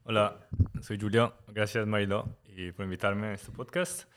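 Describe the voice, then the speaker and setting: Deep-voiced man with an Italian accent. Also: fairly even intonation, fairly bright tone. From Italy. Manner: halting, searches for words, many fillers. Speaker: guest, cooperative member. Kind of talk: casual podcast conversation.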